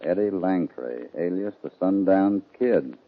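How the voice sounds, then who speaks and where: A calm, fair-minded cowboy hero with a very low voice. He speaks in terse, commanding lines in an old western radio drama, on a dull vintage recording. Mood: firm, unruffled. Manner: measured, authoritative, terse. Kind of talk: 1950s radio western acting.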